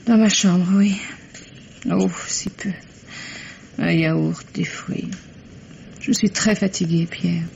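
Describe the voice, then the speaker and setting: An actress playing a weary, bitter, jealous middle-aged French wife, plaintive and reproachful, in a 1950s-style radio drama. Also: female voice, on the low side, fairly even intonation, slow pace, gravelly, pauses often, a little mellow, exaggerated voice. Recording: dull, noisy old radio recording.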